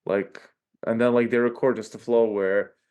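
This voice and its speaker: A man with a Serbian accent, a podcast guest talking in a loose, animated way and tagging lines with "right?". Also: mid-range voice, moderate pace, clear, resonant tone. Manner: animated, peppers speech with "like" and "right?". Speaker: podcast guest, tech company chief. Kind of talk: casual interview talk.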